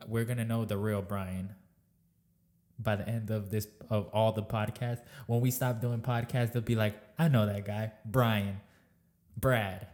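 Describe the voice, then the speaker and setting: A man's voice: on the low side, flat delivery, unhurried, darkish tone. A man in his twenties, raised in Florida, co-hosting a casual podcast and rambling with lots of repeats and casual swearing. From born in New York, raised in Tampa, Florida. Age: 20s. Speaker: podcast co-host.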